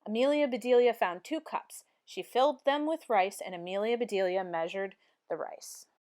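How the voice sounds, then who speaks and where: A woman reading a children's storybook aloud in an expressive, clearly phrased narration. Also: mid-range voice, moderate pace. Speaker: storybook reader.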